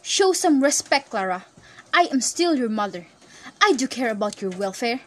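Woman as stern, caring mother, highish voice. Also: very animated, normal speed. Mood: scolding. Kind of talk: amateur radio drama acting.

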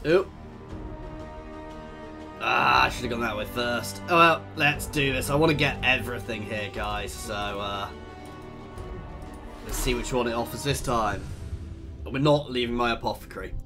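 A man in his thirties commentating a strategy game, husky and tired from a cold, chatty and thinking aloud with lots of ums. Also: mid-range voice, moderate pace, pauses often. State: hoarse with a cold. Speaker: gaming video host. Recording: voice over game music.

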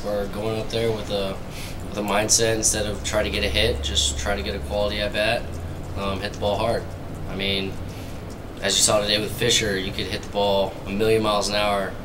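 Low, flat-toned young man at press conference. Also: fairly quick, noisy background. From Arizona, USA. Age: early 20s. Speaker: college baseball player. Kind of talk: postgame press conference answers. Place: indoor press conference table. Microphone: table microphone.

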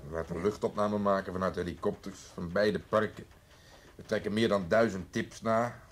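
A gruff police detective played by a male actor talks in a low voice. He is overworked, blunt and sardonic, in the manner of an old radio crime play. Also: fairly even intonation, normal speed, a little hiss or hum, lightly acted. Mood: weary. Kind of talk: Dutch radio drama acting.

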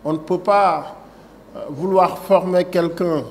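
A man's voice: sits high, steady tone, takes it slowly, gravelly. Senegalese official in his forties, slightly raised voice, table mic in a meeting room with a light hiss underneath. He hesitates and restarts as he talks. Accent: Senegalese French. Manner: reads prepared text, then digresses with many fillers and restarts. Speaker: agency director giving a speech. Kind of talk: formal speech at a signing ceremony. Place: indoor conference room. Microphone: table microphone.

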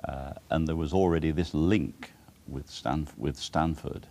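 Male interviewer with a very low voice and gravelly fry; measured, prompting delivery. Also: fairly even intonation, warm tone. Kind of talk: archival interview questions.

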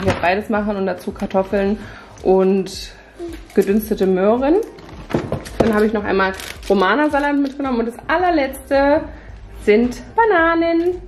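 Chatty mom showing off groceries. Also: female voice, medium pitch, darkish tone, a little hiss or hum. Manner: chatty, upbeat product run-through. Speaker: family vlogger. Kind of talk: casual grocery haul chat. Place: home kitchen at night.

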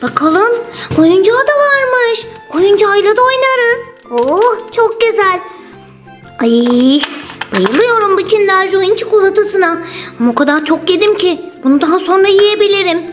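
Squeaky, greedy little cartoon turtle. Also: female voice, expressive, normal speed. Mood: excited. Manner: exclamatory, sing-song, munching noises. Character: playful, greedy, chocolate-loving toy turtle character. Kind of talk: children's toy-play story narration. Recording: roomy sound with music underneath.

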